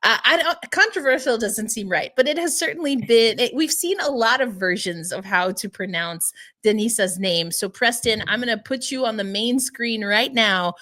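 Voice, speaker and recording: A woman hosting a hockey podcast, lively and laughing as she asks her guest questions. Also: on the high side, moderate pace, hardly any pauses. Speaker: podcast host. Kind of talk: podcast interview chat.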